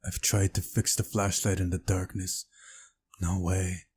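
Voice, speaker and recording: A man's voice: low-pitched, level tone, measured pace, darkish tone, a little hiss or hum. A man reading a story aloud as dramatic narration, as in a visual novel playthrough.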